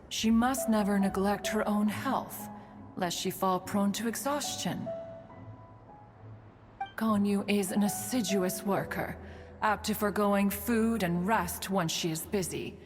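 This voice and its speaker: Game casting: actress as proud immortal female sage, archaic formal diction, unhurried, in a reverberant space with music behind. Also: mid-range voice, natural voice. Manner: formal, archaic, refers to self as "one". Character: stately immortal female adeptus, proud, fussy, fondly meddling. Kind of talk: video game character acting.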